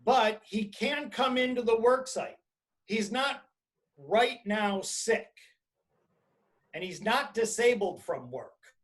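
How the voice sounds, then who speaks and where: A man giving an explanatory webinar presentation as an attorney, speaking deliberately and emphatically in short phrases over a video call. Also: high-pitched, lively intonation, a bit bright. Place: indoors, on a video conference call.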